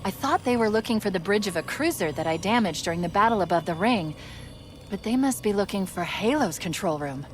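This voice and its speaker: A woman playing a poised, quick-witted military artificial intelligence in a sci-fi game cutscene, speaking in an articulate, analytical way. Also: mid-range voice, lively intonation, a little hiss or hum, lightly acted. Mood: intent, realizing. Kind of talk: cinematic sci-fi game acting.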